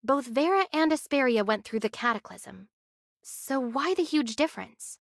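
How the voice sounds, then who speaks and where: An actress playing an earnest, protective young heroine in an anime game, with a direct, questioning manner. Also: female voice, high voice, lively intonation, moderate pace, vocal fry, no room sound, studio quality. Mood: questioning, reasoning.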